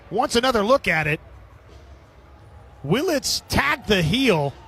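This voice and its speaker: A man's voice: very high-pitched, creaky, sharp tone, slight background noise. A man calling a baseball game as a radio play-by-play announcer, describing the action in clipped phrases. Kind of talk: live radio sports commentary.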